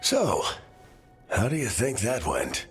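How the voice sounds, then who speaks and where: Older game mentor: very low, expressive, dry and weary, music underneath. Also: male voice, slow, exaggerated voice. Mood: wry, tired. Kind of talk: video game dialogue acting.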